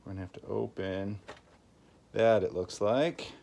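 Guy with a lowish voice talking himself thru a repair, folksy, some background noise and clicking. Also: a little slow, darkish tone. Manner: folksy, thinking aloud while working. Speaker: host of a tinkering channel. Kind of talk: casual hands-on repair walkthrough. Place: indoors at a work table. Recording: clear background noise, clicks and handling sounds.

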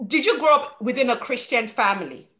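A woman's voice: on the high side, lively intonation, a little fast, fairly bright tone. A young adult woman hosting an interview show over a video call, emphatic and forever tagging her lines with "okay?". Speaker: show host. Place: home room, indoors.

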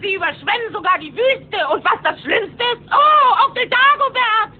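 A woman's voice: very high-pitched, normal speed. A woman voicing a studious young boy duck in a cartoon audio play, on lo-fi cassette. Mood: alarmed. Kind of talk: cartoon voice acting. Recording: tinny lo-fi cassette.

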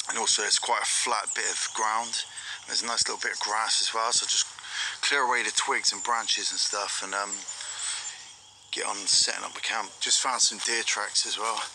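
A man's voice: lowish voice, normal speed, noisy background. Rambling British man filming in woods. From Britain. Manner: relaxed, rambling, many "um"s. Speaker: vlogger. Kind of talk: casual outdoor vlog narration. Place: outdoors in a pine forest. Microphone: handheld action camera.

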